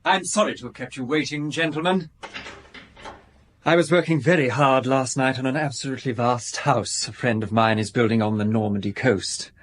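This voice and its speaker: An actor playing a fastidious, genteel architect-decorator in his thirties in a radio drama, speaking precisely and with stiff politeness. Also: mid-range voice, steady tone, slight background noise, natural voice. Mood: polite, stiff. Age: 30s.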